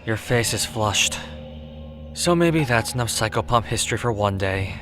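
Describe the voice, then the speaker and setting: A man playing a reserved, quietly protective death god in an audio drama, speaking in an understated, gentle way. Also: fairly low pitch, animated, normal speed, over music, close to an everyday voice. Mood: concerned. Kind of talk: audio drama character acting.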